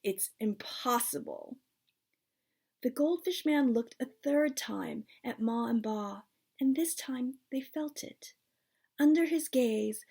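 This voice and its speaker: Female storybook reader, husky and creaky, expressive delivery with soft phrase endings. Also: high voice. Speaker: author reading own book. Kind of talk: storybook read-aloud.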